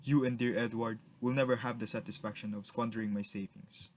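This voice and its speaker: A man's voice: mid-range voice, fairly even intonation, a little fast, slight background noise, natural voice. A man playing a stern, suspicious lord and jealous husband in a radio drama, pompous and sneering. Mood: cold sneering. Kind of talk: melodramatic radio drama acting.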